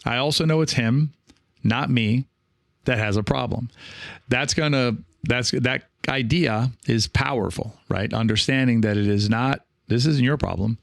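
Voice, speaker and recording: A man hosting a solo podcast in a reflective, halting way, often correcting himself and checking in with the listener. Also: medium pitch, vocal fry, no echo, clean studio sound. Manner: halting, self-correcting, often seeking agreement with "right?". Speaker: podcast host.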